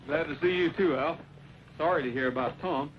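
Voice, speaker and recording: An actor playing an amiable, polite cowboy hero in an old western drama, with a folksy, courteous way of talking. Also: male voice, fairly high pitch, a little fast, natural voice. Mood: friendly, concerned. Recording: old muffled, noisy radio-era recording.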